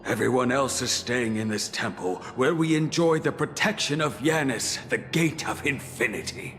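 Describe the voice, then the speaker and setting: A man's voice: mid-range voice, moderate pace, close to an everyday voice. Stern old priest played by a man, echoing hall. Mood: grave, resolute. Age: older. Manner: declamatory, indignant, formal. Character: stern, stubborn old priest clinging to his faith. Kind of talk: video game voice acting. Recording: long reverb, loud music behind.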